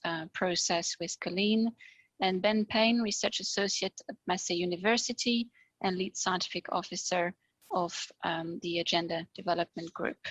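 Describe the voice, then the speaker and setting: A woman's voice: medium pitch, a bit bright, no echo, low-quality recording. Woman moderating a conference session. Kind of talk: introducing speakers at an online conference.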